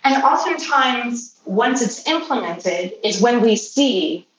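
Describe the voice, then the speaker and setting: A woman who grew up speaking Polish, answering interview questions as a healthcare professional in a measured, explanatory way. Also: on the high side, lively intonation. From Poland or Polish-speaking family. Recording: roomy, distant mic, faint noise.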